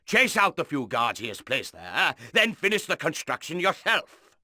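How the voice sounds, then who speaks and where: Stately, expressive military commander. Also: male voice, fairly high pitch, normal speed, no room sound, studio quality, heavily acted. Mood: grave, rousing. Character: earnest noble male commander briefing his young lord. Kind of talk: scripted video game mission briefing.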